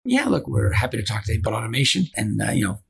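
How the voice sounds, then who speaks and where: A man's voice: mid-range voice, very animated, racing, vocal fry, fairly bright tone, slightly rough. A man, a business founder, answering podcast interview questions in an earnest, conversational way, repeating himself for emphasis. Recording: faint background noise.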